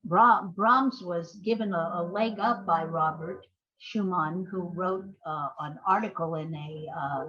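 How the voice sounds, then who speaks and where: An older woman talking as an interview guest, telling stories conversationally in halting, reflective phrases. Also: lowish voice, a bit bright, dull audio. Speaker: playwright and screenwriter guest.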